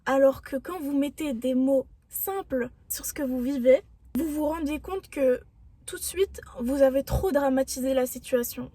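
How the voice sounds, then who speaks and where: A young woman with a high, soft and mellow voice talks straight to her viewers. She gives self-help advice in an earnest, reflective way. Her tone stays encouraging throughout. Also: moderate pace, no echo. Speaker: vlogger. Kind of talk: motivational self-help talk.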